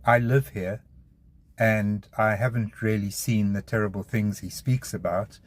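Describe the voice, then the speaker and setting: A man's voice: fairly low pitch, fairly even intonation, unhurried. A man speaking directly to the listener in an exasperated, earnest appeal. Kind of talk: direct address to camera.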